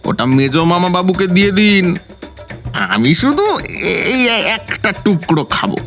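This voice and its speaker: A man's voice: sits high, big pitch swings, normal speed, a bit bright, faint music behind. An actor playing a gluttonous, bumbling young son-in-law in a comic audio drama, whining and stammering as he feigns refined manners. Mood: flustered, polite.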